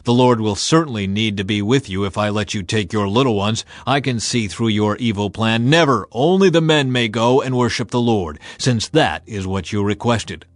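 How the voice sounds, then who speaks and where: A man's voice: lowish voice, normal speed, hardly any pauses, dry recording, natural voice. A man voicing a stubborn, haughty ancient king with an imperious manner in a scripture audiobook. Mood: scornful. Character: Pharaoh, stubborn, haughty male Egyptian king.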